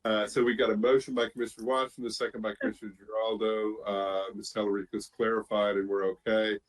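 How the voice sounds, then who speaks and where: A man's voice: fairly low pitch, little pitch movement, fast talker, rough recording. A man presiding as chair over a public board meeting, moving through procedure in an orderly but informal way.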